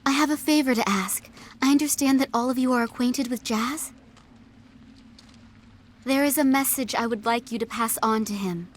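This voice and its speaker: An actress playing a gentle, principled young princess in a video game cutscene, speaking formally and earnestly. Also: female voice, fairly high pitch, normal speed, a little hiss or hum, close to an everyday voice.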